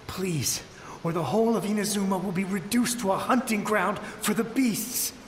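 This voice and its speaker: Guy voicing scared reverent samurai soldier from a game, kinda high voice, big echo. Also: moderate pace, a little hiss or hum, close to an everyday voice. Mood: desperate pleading. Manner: pleading, reverent. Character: frightened dying male samurai soldier, desperate and devout. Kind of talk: dramatic video game voice acting. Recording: echoing with long reverb tail.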